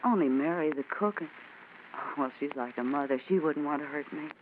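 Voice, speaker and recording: A woman's voice: low voice, brisk, lightly acted. An actress playing a frightened young woman seeking a detective's help, earnest and anxious, in 1940s radio acting style. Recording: tinny old radio recording, faint hiss.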